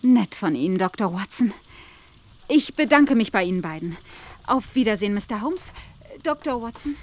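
A woman's voice: medium pitch, expressive, normal speed, a little mellow, slight background noise, natural voice. An actress playing an uneasy, earnest and polite young woman in a German radio play. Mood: polite, apologetic. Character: anxious, polite young woman seeking a position.

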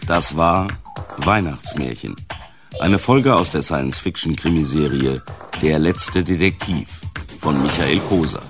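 A male announcer reads scripted credits for a radio programme. His voice is low, and music plays clearly behind him. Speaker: closing announcer.

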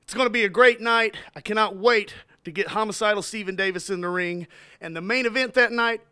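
A man's voice: high voice, fairly quick, bright, forceful tone, smooth. A man playing a goofy stooge-style wrestler who taunts with nyah-nyahs, whoops and a silly song in a promo interview. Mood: gleeful. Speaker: pro wrestler.

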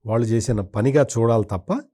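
A man's voice: medium pitch, brisk, warm tone. A man speaking as a political commentator in an interview, in a critical, opinionated way with emphatic repetition.

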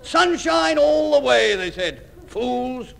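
A gravelly, blustering old admiral. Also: male voice, very high voice, animated, a bit bright, heavily acted. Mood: jovial. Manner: brisk, dismissive, jovial. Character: breezy, blustering older admiral. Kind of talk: 1950s radio comedy acting.

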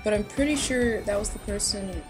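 A woman's voice: mid-range voice, normal speed. A young woman giving casual, gushing, giggly reaction commentary from a small room. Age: young adult. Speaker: reaction video host. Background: music and game trailer audio.

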